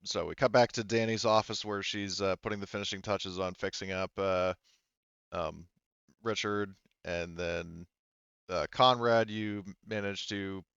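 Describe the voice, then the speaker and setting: A man working as game master, narrating casually in a halting, conversational way and checking in with his players. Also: on the low side, fairly even intonation, gravelly, fairly bright tone, smooth, no echo, highs cut off.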